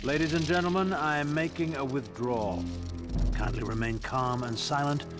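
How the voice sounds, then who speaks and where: A male actor as a brooding game supervillain, a scientist bent on revenge. He speaks formally and grandly with menace, in a mid-pitched voice under loud music. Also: normal speed, lightly acted. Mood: threatening. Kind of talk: video game villain acting.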